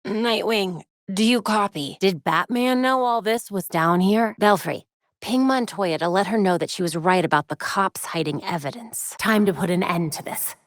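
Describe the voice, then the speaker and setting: A woman's voice: mid-range voice, normal speed, no echo, clean studio sound, natural voice. A woman playing a young female superhero in a video game, witty, determined and brainy, with a wry conversational delivery. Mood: determined, forceful.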